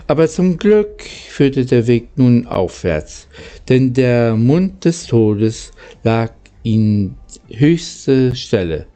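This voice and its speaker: A man's voice: medium pitch, mellow. A man reading aloud as a storyteller and host, stumbling now and then over his words. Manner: halting reading with occasional misreadings. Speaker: audiobook reader and channel host. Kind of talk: storybook narration.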